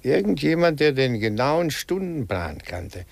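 Older male inspector, gravelly fry, methodical, emphatic, dryly amused, 1950s German radio drama. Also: mid-range voice, big pitch swings, measured pace, dry recording, exaggerated voice.

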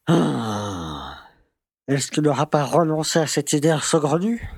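An actor playing a pompous, grumbling conservative small-town mayor who lectures and scolds in a French audio drama. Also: male voice, fairly high pitch, big pitch swings, a little slow, vocal fry, a little mellow, husky, no room sound, clean studio sound, full character voice.

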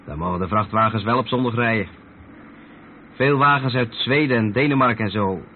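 An actor with a Netherlands Dutch accent playing an experienced long-haul trucker who patiently explains things in a radio play. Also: male voice, on the low side, fairly quick, close to an everyday voice. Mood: patient, explaining. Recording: muffled old radio play, vehicle noise.

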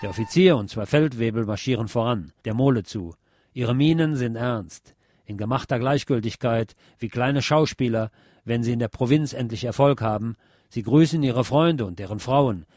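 A man's voice: medium pitch, a little mellow, no room sound. Man narrating, close and dry. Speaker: narrator and presenter of a radio series. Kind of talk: scripted literary reading and narration.

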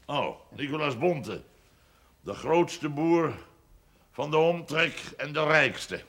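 An actor playing a boastful, middle-aged rich village farmer in a television period drama, hearty, blunt and earthy. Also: male voice, on the high side, expressive, slow, fairly bright tone, full character voice. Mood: proud, boastful. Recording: old TV soundtrack with background noise.

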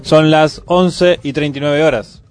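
Higher-pitched Argentine man reading radio news. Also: steady tone, unhurried, darkish tone. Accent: Argentine Rioplatense. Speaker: community radio news presenter. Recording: music playing under the voice. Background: music bed.

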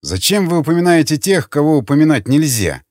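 A man's voice: on the high side, sing-song, moderate pace, dry recording, studio quality, voice pushed up. A man voicing a prim, easily offended councilwoman in an audiobook, alarmed and fussy, scolding the others. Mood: fierce, scolding. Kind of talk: audiobook character acting.

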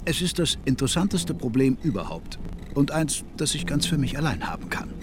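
A man reading a scripted documentary translation as a voice-over in a measured manner. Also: fairly high pitch, sing-song, very noisy.

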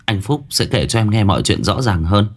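A man voicing a gentle, sincere village wife in a story, reassuring and heartfelt. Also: on the low side, very animated, fairly quick. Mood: gentle, solemn. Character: gentle, sincere married village woman revealing family history.

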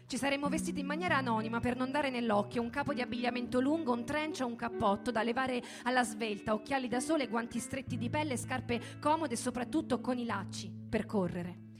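A woman giving a measured, solemn dramatic reading of literary prose. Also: fairly high pitch. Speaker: reader in a radio reading performance. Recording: music behind the voice. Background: instrumental music.

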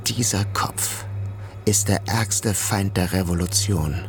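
An actor playing a tense, fanatical assassin in a radio drama, speaking an intense, brooding inner monologue. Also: male voice, deep voice, slow. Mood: cold hatred. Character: tense, fanatical male assassin, thinking to himself. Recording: loud music behind.

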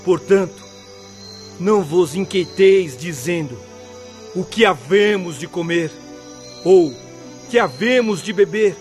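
A man playing a humble, devout, good-humoured peasant farmer in an expressive radio drama style. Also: high-pitched, slow pace, pauses often, faint music behind. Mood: earnest faith.

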